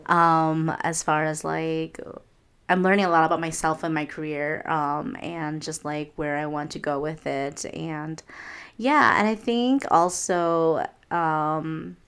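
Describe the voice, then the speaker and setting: A young woman co-hosting a relaxed podcast, her voice sitting a little low. She rambles through her thoughts with plenty of "um"s and restarts, trailing off softly. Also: slow, smooth. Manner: rambling, many fillers and restarts. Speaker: podcast co-host. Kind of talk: casual podcast chat.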